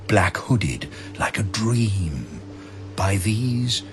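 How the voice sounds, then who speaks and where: A man narrating dramatic verse with grave, measured, theatrical phrasing. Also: lowish voice. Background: faint music.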